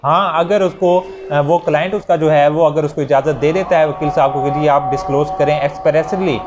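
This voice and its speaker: A man with a Pakistani accent and a slightly high voice teaches in lecture style. He explains step by step, restating each point as he goes. There is some noise behind him in the recording. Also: steady tone, fairly quick, lo-fi sound. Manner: didactic, repeats and rephrases points with examples. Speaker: law lecturer. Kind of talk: explanatory lecture, reading clauses and explaining them. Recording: clearly noisy recording with ambient sound.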